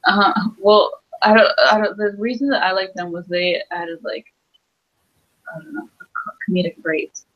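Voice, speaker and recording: A woman guest reviewer in a casual interview, soft-spoken with giggly laughs. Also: medium pitch, moderate pace, muffled recording.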